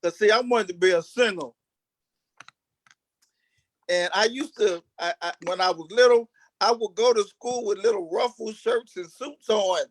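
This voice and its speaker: A man's voice: sits high, moderate pace, long pauses, no echo, rough recording. A man who acts on stage, casually telling stories about himself as an interview guest. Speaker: stage actor being interviewed. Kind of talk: casual storytelling.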